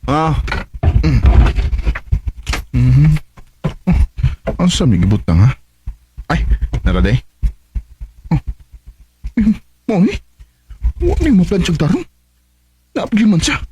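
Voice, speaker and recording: A young husband in a Bisaya radio drama, speaking fairly high with lively swings in pitch. His Filipino Bisaya accent carries a nagging, exasperated but fond manner, recorded with faint noise. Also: takes it slowly, long pauses. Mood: irritated.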